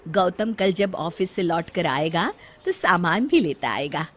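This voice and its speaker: A woman voicing a gentle middle-aged mother-in-law in a family story, soothing and motherly as she keeps the peace. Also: lowish voice, lively intonation, measured pace. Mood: instructing.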